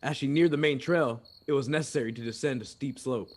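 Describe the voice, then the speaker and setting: A man's voice: medium pitch, fairly quick, close to an everyday voice. A man narrating an old-time western radio drama in a dramatic, scripted storytelling style.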